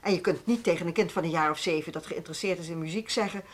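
A woman with a Netherlandic Dutch accent playing a firm, put-upon music school head in a radio drama, brisk and argumentative. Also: fairly low pitch, close to an everyday voice. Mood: defensive. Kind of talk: naturalistic radio play dialogue.

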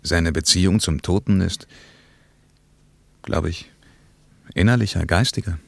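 A gravelly, deep-voiced war veteran. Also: male voice, dry recording, heavily acted. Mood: reflective. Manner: thoughtful, philosophical, pausing to weigh words. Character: reflective middle-aged German traveller, war veteran.

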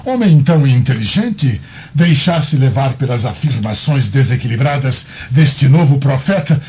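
An actor playing a haughty, scornful Roman patrician in a radio drama, with pompous, theatrical delivery. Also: male voice, medium pitch, animated, a little slow, darkish tone, breathy edge, highs cut off, heavily acted. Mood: indignant mockery.

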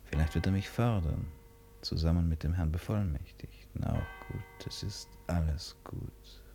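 An actor playing a restless, brooding stranger who muses and questions himself in a theatrical 1950s radio play. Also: male voice, sits low, unhurried, creaky. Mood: wistful musing. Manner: musing, erratic, self-questioning, theatrical. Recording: 1950s radio drama recording.

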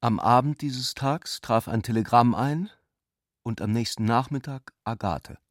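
Man playing serious reflective male narrator, measured delivery, literary radio drama, mid-pitched, close dry sound. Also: dry recording, lightly acted. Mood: measured, wondering.

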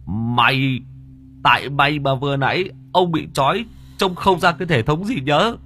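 A man's voice: on the high side, expressive, measured pace, a bit bright, slight background noise, pitched above the performer's own voice. A man voicing a bumbling, boastful old male sorcerer who wails and blusters crudely. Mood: blustering.

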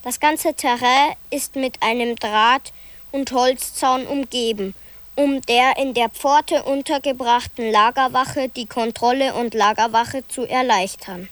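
A woman slowly and deliberately reading old planning texts in a documentary radio drama. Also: fairly high pitch, fairly bright tone, dry recording. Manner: slow, deliberate reading of names and plans.

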